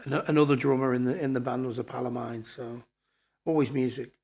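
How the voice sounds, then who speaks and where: A man's voice: mid-range voice, level tone, fast talker, darkish tone, husky. A man in his fifties with a northern English accent, reminiscing candidly and casually as a podcast guest, in colloquial storytelling style. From born in Scotland, grew up in Blackpool, northern England. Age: 50s.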